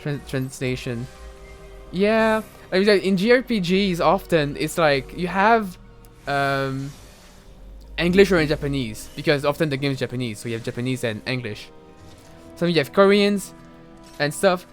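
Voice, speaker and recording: A young man with a French accent chats loosely while he plays, drifting between thoughts and peppering his talk with fillers and false starts. His voice sits a little high for a man, and soft game music plays faintly behind him. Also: lively intonation, moderate pace. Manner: rambling, chatty, many fillers and restarts. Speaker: game streamer. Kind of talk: casual live gaming commentary.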